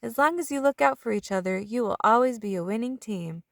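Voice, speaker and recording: A woman's voice: on the high side, lively intonation, a little fast, vocal fry, fairly bright tone, no echo, clean studio sound. A woman voicing a friendly mother or grandmother in a storybook, calling out encouragingly. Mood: cheerful.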